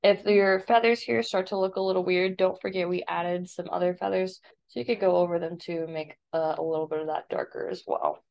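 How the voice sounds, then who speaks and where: A woman with a creaky voice, an art teacher casually explaining software step by step in a tutorial voice-over. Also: fairly low pitch, fairly even intonation, fairly quick. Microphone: screen-recording voice-over. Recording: dull, lo-fi voice-over.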